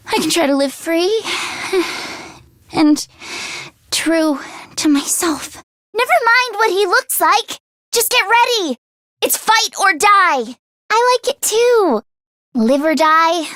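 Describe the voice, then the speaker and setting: Game casting: girlish teen mechanic, very high-pitched, anxious yet determined, expressive, dry studio sound. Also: dry recording, heavily acted. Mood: wistful, then resolute. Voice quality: youthful, girlish. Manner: expressive, emphatic, exclamatory. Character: excitable teenage girl mechanic, anxious but determined. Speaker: video game voice actress. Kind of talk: animated video game character acting.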